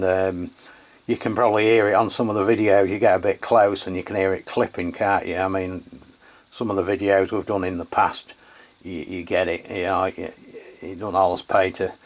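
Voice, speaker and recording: A man with an English accent chatting casually as a radio reviewer, in a hesitant, rambling, matey way. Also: low voice. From England. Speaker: radio review channel host. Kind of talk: casual radio chat and product testing.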